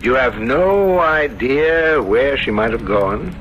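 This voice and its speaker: An actor playing a formal, deferential yet insinuating police inspector in a 1970s radio drama. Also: male voice, medium pitch, animated, slow. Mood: probing. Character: polite, probing police inspector making routine inquiries. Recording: dull vintage radio broadcast, music behind.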